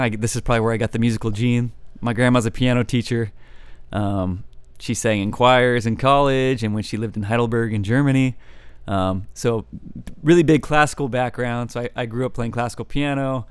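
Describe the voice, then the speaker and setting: A young adult man, a musician guest with a baritone voice, chatting casually in an interview with relaxed, rambling talk and many fillers. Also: moderate pace, smooth, no room sound.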